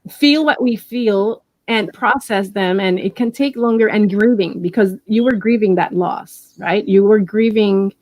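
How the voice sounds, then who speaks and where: A woman in her forties with a Puerto Rican accent speaks at a mid pitch, warm and encouraging. She often restarts and repeats words as she chats. The recording is dry, with no room sound. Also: a little slow, few pauses, no echo, low-quality recording. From Puerto Rico. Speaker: interview guest. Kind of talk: casual interview.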